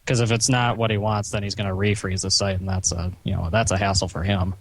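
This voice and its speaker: A man talking on a recorded phone call in a stern, chiding, halting way, padding his sentences with "you know". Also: deep voice, little pitch movement, no room sound. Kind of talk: phone conversation, reproachful lecturing.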